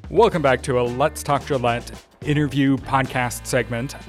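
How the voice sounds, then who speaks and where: A man hosting a local interview podcast, asking relaxed, informal questions. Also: medium pitch, normal speed, music in the background. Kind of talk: casual interview.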